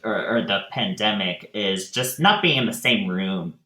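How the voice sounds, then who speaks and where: Guy being interviewed about directing, kinda halting n thoughtful, bright voice, roomy echo. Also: on the low side, animated. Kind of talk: casual interview answers. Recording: roomy, echoey sound.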